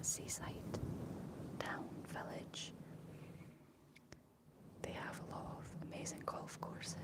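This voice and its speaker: A woman with a Scottish accent whispering as an ASMR live streamer, reading and answering chat messages. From Scotland. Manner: speaks entirely in a whisper.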